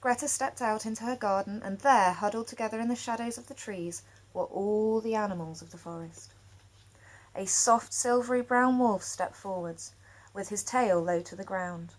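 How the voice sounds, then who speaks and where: A woman storyteller reading a children's picture book aloud expressively, with dramatic pauses. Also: medium pitch, rough recording.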